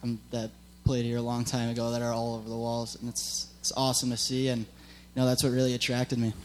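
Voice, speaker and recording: A young man from Minnesota gives casual answers as a college athlete on stage. His delivery stays quite flat, with faint room hum behind him. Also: mid-range voice, brisk. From Minnesota, United States. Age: 20s. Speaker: college hockey player. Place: on stage at a live event. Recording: live event, humming room noise.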